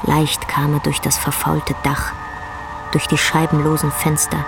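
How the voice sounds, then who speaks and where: A woman performing as the grave, intense narrator of a bleak literary radio drama, telling it in a measured way. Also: sits low, monotone, creaky. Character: female storyteller of a bleak rural tale, grave and intense. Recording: music under the voice.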